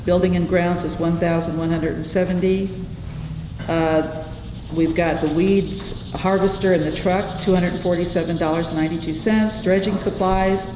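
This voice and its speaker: A plain-spoken, folksy woman chairing a board meeting informally and reading out figures, self-deprecating as she goes. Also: lowish voice. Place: indoor public meeting room. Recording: echoey hall, loud room noise.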